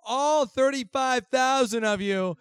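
Hoarse young man joking with a crowd. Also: very high voice, slow, a bit bright, no echo. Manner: rambling and playful, many fillers and restarts. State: hoarse from losing his voice. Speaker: co-host of a live panel show. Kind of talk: casual comedic banter with a live audience.

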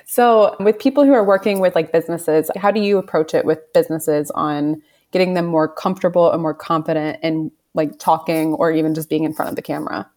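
A woman's voice: low voice, steady tone, a little fast, few pauses, dark tone. A woman hosting a casual podcast interview, talking in a bubbly, enthusiastic way. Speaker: podcast host.

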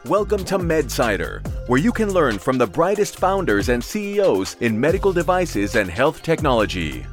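A man's voice: medium pitch, normal speed, soft background music. A man reading the scripted introduction of a podcast as announcer. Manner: announcer delivery, lively. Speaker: show announcer.

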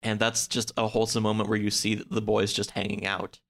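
A man in a casual podcast chat, recapping a story in a halting, hedging way. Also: on the low side, little pitch movement, vocal fry, a bit bright. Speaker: podcast speaker discussing books.

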